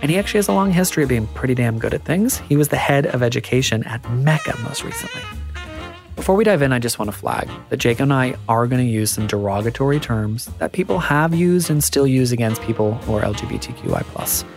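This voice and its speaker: Male host, mid pitch, faint music behind, encouraging questions. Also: sing-song, fast talker. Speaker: podcast host. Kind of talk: interview hosting.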